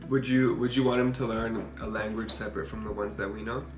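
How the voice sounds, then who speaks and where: A man's voice: lowish voice, steady tone, a little fast. Young man chatting in an echoey room. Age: young adult. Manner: informal, peppered with "like". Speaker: parenting vlogger. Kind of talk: casual chat with partner. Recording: roomy echo, faint music behind.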